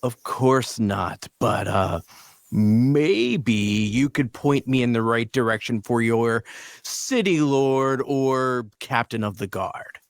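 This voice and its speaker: A man playing a charming, smooth-talking leader of adventurers, flattering and persuasive, in a fantasy audio drama table read. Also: mid-range voice, slow pace, no echo, clean studio sound. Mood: smooth, reassuring. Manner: flattering, theatrically polite, persuasive.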